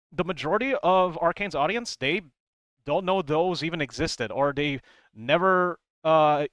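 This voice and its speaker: A man's voice: on the high side, a bit bright, clean tone, no echo, muffled recording. A man giving casual, rambling commentary as a video creator, conversational and emphatic, close to the microphone. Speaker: video creator explaining game lore.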